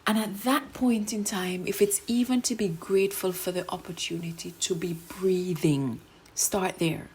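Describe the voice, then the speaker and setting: A woman giving a gentle, soothing self-care reflection, sometimes dropping to a whisper. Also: on the low side, fairly even intonation, unhurried, a little hiss or hum. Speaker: segment presenter.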